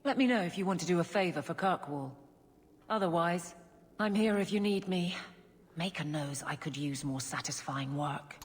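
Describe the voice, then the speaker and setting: A stern, no-nonsense female guard captain from a fantasy video game, voiced by a woman. She talks in a gruff, blunt and matter-of-fact way, with her voice sitting a little low. The game audio carries a long reverb tail. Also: normal speed, natural voice. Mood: frustrated. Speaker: city guard officer.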